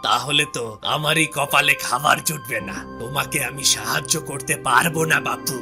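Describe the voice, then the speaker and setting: A man voicing a stingy, dismissive village landlord with a gravelly voice in a cartoon story. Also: medium pitch, moderate pace, music in the background, lightly acted. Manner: dismissive, condescending. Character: stingy village landlord, coldly refusing help. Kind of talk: Bengali cartoon story voice acting.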